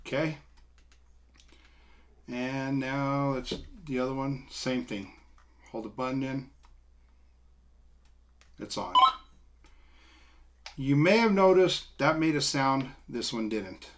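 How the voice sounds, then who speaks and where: A middle-aged American man talks through a product in a casual, unscripted review. His voice sits at a medium pitch. He is informal and plain-spoken, explaining in short, halting steps while seated a few feet from the camera in a small room.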